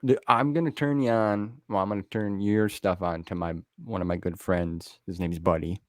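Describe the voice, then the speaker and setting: Male host, late 30s. Lowish pitch, dry close sound, informal and chummy, loose rambling chat. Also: fairly even intonation, moderate pace, no room sound. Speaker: interviewer and show host. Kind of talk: casual interview chat.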